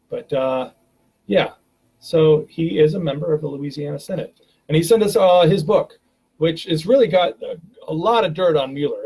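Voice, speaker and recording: A man's voice: a little high, darkish tone, slightly rough, rough recording. A man hosting a live stream, talking casually and rambling with many fillers and restarts, from a home webcam.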